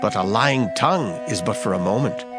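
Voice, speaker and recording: Animated man declaiming scripture over loud music. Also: on the low side, a little fast. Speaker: audio Bible narrator. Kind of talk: dramatized scripture reading. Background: background music.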